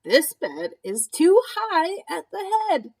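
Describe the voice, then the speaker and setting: A woman's voice: very high-pitched, sing-song, takes it slowly, vocal fry, darkish tone, pitched above the performer's own voice, heavily acted. Woman as picky little girl, bold, fussy, exclamatory, children's storybook. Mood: complaining. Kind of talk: children's storybook read-aloud.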